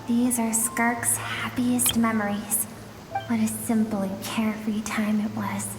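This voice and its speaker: Gentle nostalgic guide narrating memories, echoey hall sound, music behind. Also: female voice, on the high side, little pitch movement. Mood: nostalgic, tender. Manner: unhurried, reflective, storytelling. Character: wistful, gentle female guide recounting another's memories. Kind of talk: dramatic game narration. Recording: echoing hall-like reverb.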